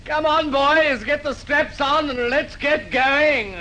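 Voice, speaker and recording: A man's voice: very high voice, takes it slowly. An actor playing a bold condemned man taunting his executioners with bravado in an old radio drama. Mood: defiant bravado. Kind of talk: 1950s radio drama acting. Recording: noisy vintage radio recording.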